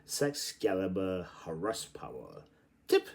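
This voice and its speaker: A man's voice: deep voice, very animated, slow pace, vocal fry, darkish tone. A man reading literary prose aloud in a theatrical, playful and exclamatory way. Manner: playful, exclamatory delivery with sudden outbursts. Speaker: reader.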